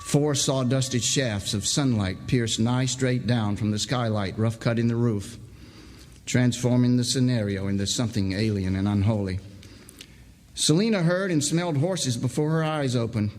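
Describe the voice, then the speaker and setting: A man's voice: medium pitch, normal speed, a little mellow, slight background noise. Man narrating a story in a reverberant hall. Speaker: story reader. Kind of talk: scripted literary narration, staged reading. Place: indoor hall, live event. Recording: reverberant hall, live stage reading.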